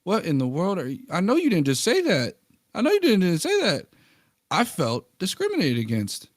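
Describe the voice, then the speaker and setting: A man's voice: high-pitched, huge pitch swings, normal speed, dry recording, studio quality. A man telling a story in a joking, exaggerated way in a casual chat.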